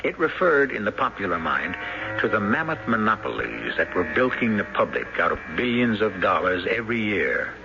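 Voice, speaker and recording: A man narrates an old radio drama as host, theatrical and deliberate. His fairly low voice moves expressively through rhetorical phrasing. Music plays clearly behind him in a slightly dull recording. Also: sing-song.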